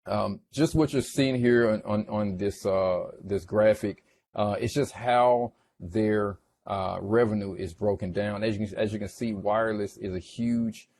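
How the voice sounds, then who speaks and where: A man giving an informal, explanatory financial presentation in a halting manner, often checking in with the listener. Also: fairly low pitch, fairly even intonation, a little mellow. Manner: halting, checks in with "right?" and "okay?". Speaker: investment presenter. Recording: clearly noisy background ambience.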